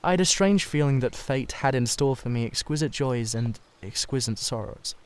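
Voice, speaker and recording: A man voicing an anxious, earnest Victorian gentleman painter who confides dramatically in a literary reading. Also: mid-range voice, lively intonation, moderate pace, clear, resonant tone, natural voice. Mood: troubled, confiding.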